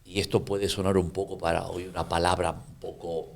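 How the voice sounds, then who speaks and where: A man's voice: low voice, flat delivery, a little fast, gravelly. A man co-hosting a podcast, agreeable and giving brief replies. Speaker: podcast co-host. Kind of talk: casual podcast chat.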